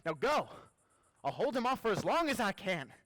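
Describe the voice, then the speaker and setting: An actor playing a righteous, protective 19th century preacher, with emphatic oratorical phrasing in a theatrical stage style. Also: male voice, sits high, big pitch swings, normal speed, a bit bright, dry recording. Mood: urgent, commanding.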